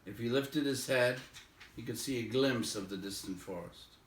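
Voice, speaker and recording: A man narrates a storybook aloud in a medium-pitched voice. His phrasing is expressive and dramatic. The recording is strongly roomy, with the microphone a little way off. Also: moderate pace. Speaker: audiobook reader. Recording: roomy echo, distant microphone.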